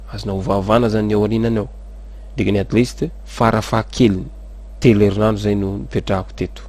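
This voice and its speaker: Brief: male English tutor, lowish voice, slow drills then brisk explaining. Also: a little mellow. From Madagascar. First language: Malagasy. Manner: slow, careful model sentences between fast explanations. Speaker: English teacher. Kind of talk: language lesson, explaining in Malagasy and French.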